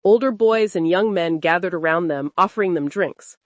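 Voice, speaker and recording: A woman storyteller giving an expressive, suspenseful narration of a scripted dramatic folktale. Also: on the low side, moderate pace, no room sound.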